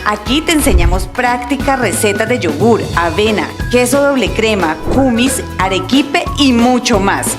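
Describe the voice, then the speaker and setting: A woman's voice: fairly high pitch, expressive, measured pace, hardly any pauses. A woman announcer reading a scripted advertisement in an upbeat, persuasive way. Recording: voice over loud background music.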